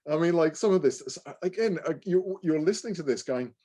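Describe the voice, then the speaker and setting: A man co-hosting a podcast, talking casually in an animated, digressive way as he reviews things. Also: on the high side, fairly quick. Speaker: podcast co-host.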